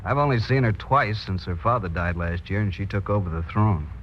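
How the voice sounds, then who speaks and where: An actor playing an earnest, aggrieved American oil prospector in a 1940s radio drama. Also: male voice, on the low side, brisk, natural voice. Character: earnest, aggrieved American oilman protesting his innocence. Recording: muffled, noisy vintage radio recording.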